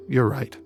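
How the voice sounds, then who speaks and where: Withdrawn, sorrowful scholar, middling pitch. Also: male voice, vocal fry, heavily acted. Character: withdrawn, sorrowful, self-blaming male scholar. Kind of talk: video game voice acting.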